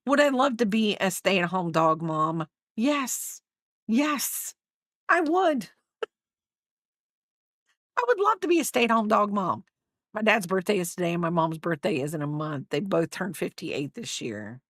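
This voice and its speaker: Brief: woman in her fifties, rambling livestream host, lively pitch, dry close sound. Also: a little slow, no echo. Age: 50s. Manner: rambling, chatty, reads out viewer comments. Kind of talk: casual livestream chat.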